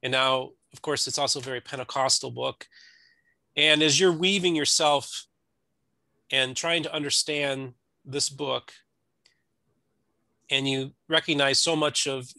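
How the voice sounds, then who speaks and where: Male podcast-style interviewer. Conversational, crisp bright edge to the voice, close dry sound. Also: medium pitch, fairly even intonation, a little slow, long pauses, dry recording. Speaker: interviewer, host.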